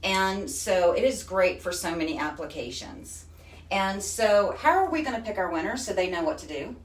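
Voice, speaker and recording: A woman's voice: mid-range voice, expressive, normal speed. A woman from Texas with a Texan accent, hosting a casual live stream in a chatty, folksy way. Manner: chatty and folksy, says honey and y'all. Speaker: host. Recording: echoey room, far from the mic.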